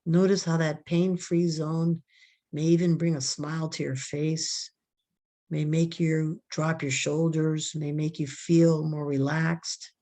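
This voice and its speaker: Slow, low-voiced woman guiding a meditation. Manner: soft, calm, drawn-out instructions. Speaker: meditation guide. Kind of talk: guided relaxation meditation.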